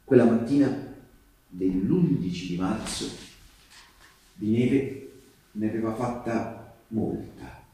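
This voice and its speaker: A man playing a storyteller of partisan war tales, delivering dramatic theatrical narration with emphatic repetitions and pauses. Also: on the low side. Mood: solemn storytelling. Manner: emphatic repetitions, swinging from urgent cries to hushed pauses. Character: man telling partisan war stories to Teresa, intimate and grave. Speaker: stage actor and storyteller. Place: theatre-like echoing space with live musical accompaniment. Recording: echoey live stage, distant mic, music behind.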